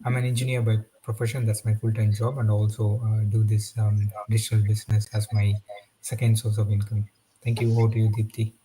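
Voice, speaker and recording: A man, a panel guest on a video call, giving a brief and halting introduction. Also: fairly low pitch, flat delivery, warm tone, a little hiss or hum, poor audio. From India.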